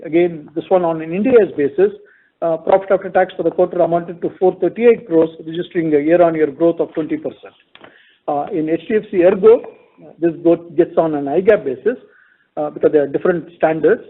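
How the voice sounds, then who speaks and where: A man with an Indian accent gives a formal, businesslike earnings call presentation as a finance executive, methodically reading out figures. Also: high-pitched. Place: conference call. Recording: tinny narrow phone conference line.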